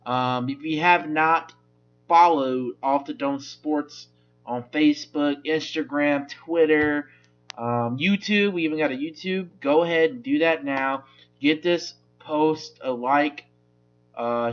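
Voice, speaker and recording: A man's voice: on the high side, takes it slowly, a bit bright, highs cut off, soft background music. A man hosting a casual sports talk show, with a loose, rambling delivery full of restarts. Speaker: sports podcast host.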